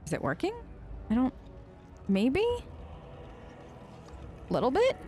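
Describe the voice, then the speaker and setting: A woman's voice: on the high side, huge pitch swings, slow pace, darkish tone, slight background noise. A woman doing role-play let's play commentary, chatty and curious, often tentative as she reacts in character. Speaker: gaming streamer.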